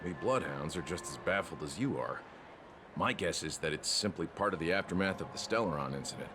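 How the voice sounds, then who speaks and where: An actor playing a tired, deferential guard in a video game, speaking in an official, apologetic way. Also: male voice, deep voice, expressive, fairly quick, noisy background. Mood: weary, resigned. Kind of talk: scripted video game voice acting. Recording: music behind the voice.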